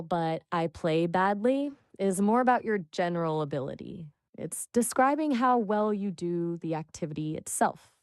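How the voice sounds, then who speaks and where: A woman coaching English conversation, patient and full of praise, explaining word choices clearly. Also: mid-range voice, darkish tone, no echo, clean studio sound. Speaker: English tutor. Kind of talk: encouraging language coaching.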